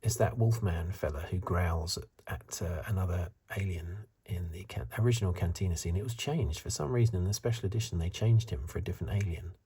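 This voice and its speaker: Man, ASMR reviewer, soft half-whisper, very breathy, deep and mellow, hesitant musing delivery. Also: a little fast, few pauses. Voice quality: breathy, hushed. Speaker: host of a film review video. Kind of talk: soft-spoken ASMR commentary, partly whispered.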